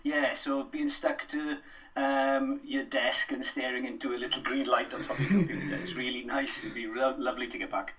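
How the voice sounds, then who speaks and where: A man's voice: mid-range voice, fairly even intonation, normal speed, a bit bright, distant mic, a little hiss or hum, low-quality recording. A middle-aged Welsh man, a drama lecturer, chatting as a podcast guest with many fillers and restarts. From Wales. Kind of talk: casual podcast interview.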